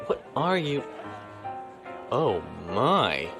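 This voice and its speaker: A man's voice: mid-range voice, sing-song, drawn-out words, over music. An actor playing a stiff, formal young male naval officer who speaks properly and reservedly.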